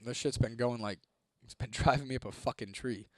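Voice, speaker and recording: A young man from Ohio, chatting casually on a live stream, laid-back and swearing freely, his voice a little hoarse. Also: mid-range voice, brisk, dry recording. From Toledo, Ohio, United States. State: voice getting hoarse. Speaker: livestreamer. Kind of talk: casual live-stream chat reading comments.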